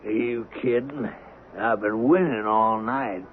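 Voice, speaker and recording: An actor playing a brash, ruthless Old West gambler in a radio drama, gravelly, mocking and blustering with a folksy drawl. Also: male voice, lowish voice, measured pace, close to an everyday voice. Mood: wary, cocky. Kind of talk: 1970s radio drama acting. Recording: narrow-band vintage radio recording with faint hiss.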